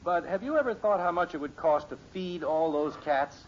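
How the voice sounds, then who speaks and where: A man's voice: on the high side, expressive, moderate pace, close to an everyday voice. An actor playing a patient, reasonable middle-aged American father in a radio sitcom, with a folksy, emphatic way of reasoning. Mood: patient, exasperated. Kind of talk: 1950s radio sitcom acting. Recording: muffled, noisy old radio recording.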